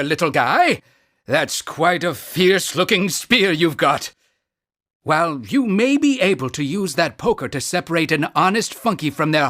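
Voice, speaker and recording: An actor playing a fussy, absent-minded town mayor in a cartoon video game, wordy and politely pompous. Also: male voice, sits high, fairly bright tone, no echo, clean studio sound, exaggerated voice. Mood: teasing, admonishing.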